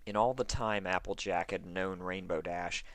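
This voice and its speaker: A man reading fan fiction aloud as scripted, expressive story narration. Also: sits low, moderate pace, no echo.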